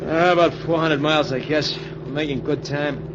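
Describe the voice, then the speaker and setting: A man's voice: fairly high pitch, steady tone, normal speed, natural voice. An actor playing a cocky, bullying small-time crook with slangy tough-guy talk, in old-time radio crime drama style. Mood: dismissive. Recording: dull, noisy old radio recording.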